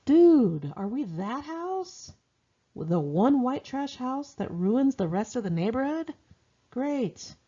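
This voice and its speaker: A woman's voice: high voice, sing-song, slow, warm tone, husky, lo-fi sound, pitched above the performer's own voice, full character voice. An American woman voicing her sarcastic, exasperated teenage self with slangy incredulity in a memoir reading. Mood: disgusted sarcasm.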